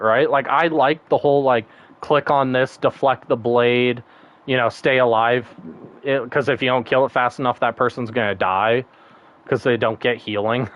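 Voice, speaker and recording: A man's voice: mid-range voice, highs cut off. A man streaming a game, chatting casually and rambling, laughing often and swearing now and then. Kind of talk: casual gaming stream chat.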